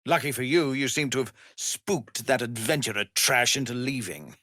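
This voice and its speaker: An actor playing a weary, honourable middle-aged male tiefling soldier in a fantasy game, speaking in an earnest, formal, measured way. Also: medium pitch, sharp tone, dry recording, studio quality, close to an everyday voice. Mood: scornful. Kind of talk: dramatic fantasy game dialogue.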